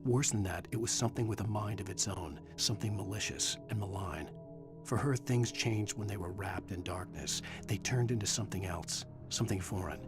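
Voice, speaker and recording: A man's voice: fairly low pitch, normal speed, lightly acted. A man playing a haunted, desperate writer in a video game, grave and intense. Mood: brooding narration. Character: haunted, desperate male writer searching for his wife. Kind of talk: video game acting and scripted narration. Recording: music behind the voice.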